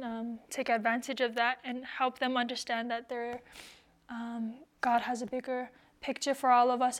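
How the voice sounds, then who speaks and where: Young woman, hesitant and soft, slightly high voice with a flat, even melody. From United States. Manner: soft-spoken, hesitant, many ums. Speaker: returned missionary guest. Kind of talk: casual personal interview.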